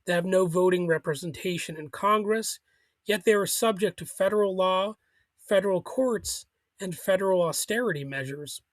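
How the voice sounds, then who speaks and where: High-voiced man, dry commentary. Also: normal speed, no room sound. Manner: critical, argumentative, with chuckles and restarts. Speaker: political commentary host. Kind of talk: solo political commentary.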